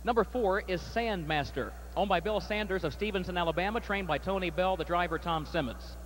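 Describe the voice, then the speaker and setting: A man calls a harness race over the track speaker, his voice pitched high for a man. He reads the field evenly and then rises to a near shout as the horses come home. A faint hum of fairground noise sits under him. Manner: rapid, rising to shouts at the finish. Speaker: track announcer. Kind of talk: harness race call and winner's circle interview.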